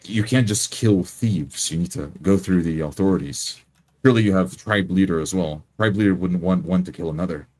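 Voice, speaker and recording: Man as stern fantasy game adventurer, very low voice, commanding, blunt, threatening. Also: normal speed, darkish tone. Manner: commanding, blunt, spells things out.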